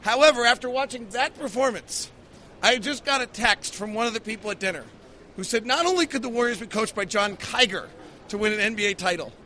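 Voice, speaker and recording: A man's voice: high voice, a little fast, bright, forceful tone, slight background noise. A man hosting a post-game sports show, giving casual, emphatic basketball analysis and stories with a taste for exaggeration. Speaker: sports podcast host, basketball broadcaster.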